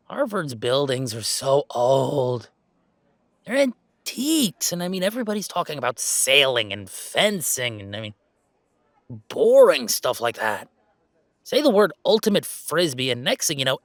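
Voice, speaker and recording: A man playing a laid-back young werewolf gamer in an intimate audio roleplay, chatting in a wry, halting, casual way. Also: medium pitch, very animated, fairly bright tone, no room sound. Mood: animated, mocking. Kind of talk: intimate roleplay audio, naturalistic one-sided conversation.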